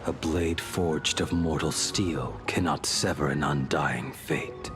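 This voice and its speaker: A man's voice: extremely low pitch, slow. A man voicing a weary, stoic swordsman in a video game, terse and grave, with music behind. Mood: grave, resigned.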